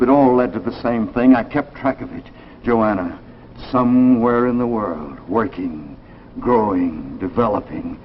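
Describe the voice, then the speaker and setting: An American actor playing a despondent, pleading husband haunted by lost love in a 1950s radio drama. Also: male voice, medium pitch, a little hiss or hum, natural voice. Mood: earnest, brooding. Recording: muffled vintage 1950s radio recording, music behind.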